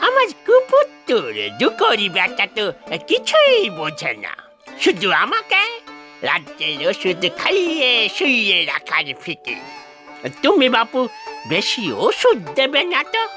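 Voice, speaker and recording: A woman voicing a stubborn, crotchety old village father in a cartoon, bossy and scolding with excitable exclamations. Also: high-pitched, unhurried, full character voice. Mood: approving, confiding. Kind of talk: animated cartoon dialogue. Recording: music behind the voice.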